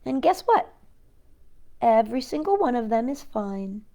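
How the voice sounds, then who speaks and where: A woman's voice: a little high, very animated, unhurried, creaky, dark tone, muffled recording. A woman hosting a children's storytime, reading aloud and chatting playfully with young listeners, often asking them questions. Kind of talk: children's picture book read-aloud with chatty asides.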